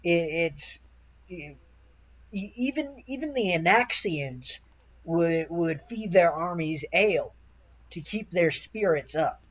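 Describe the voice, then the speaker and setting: A man playing a proud rustic townsman in a tabletop game, telling family lore in a halting, folksy way. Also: on the high side, expressive, dull audio. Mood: earnest, reverent. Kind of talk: improvised tabletop roleplay.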